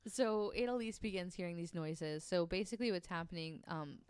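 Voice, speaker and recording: Low smooth-voiced woman telling a story. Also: fairly quick, darkish tone, dry recording. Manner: narrates at length with frequent ums, reads quotes aloud. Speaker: podcast co-host telling the story. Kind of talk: casual storytelling chat.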